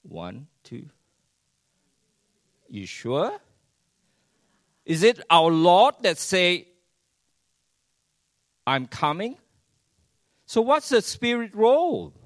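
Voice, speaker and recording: A man preaches in unhurried, deliberate phrases. He drops to near-hushed lines, then surges up loud and throws rhetorical questions at the congregation. The sound is close and dry. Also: high voice, huge pitch swings, dry recording. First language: Chinese. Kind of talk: sermon.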